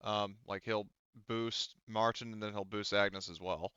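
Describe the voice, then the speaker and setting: A man's voice: lowish voice, steady tone, a little slow, sharp tone, clear, resonant tone, no echo, lo-fi sound. A man casually narrating and talking players through a tabletop game as game master.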